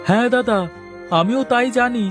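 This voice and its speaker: A man's voice: extremely high pitch, fairly even intonation, moderate pace, music in the background. A man voicing a nosy, outspoken village neighbour in a cartoon. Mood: chatty, advising. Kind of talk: Bengali cartoon dialogue.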